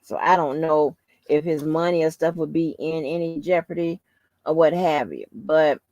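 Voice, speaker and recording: A woman hosting a casual livestream, rambling informally to her viewers in a soft, calming voice. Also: sits low, moderate pace, no room sound, lo-fi sound. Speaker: livestream host.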